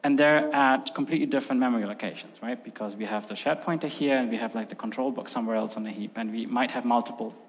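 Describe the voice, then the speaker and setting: A man's voice: mid-range voice, fast talker, few pauses. A middle-aged man whose first language is Russian, giving a casual, filler-heavy technical talk at a conference. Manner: casual and chatty, many fillers like "like" and "um". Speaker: conference speaker. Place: large conference hall. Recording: long hall reverb.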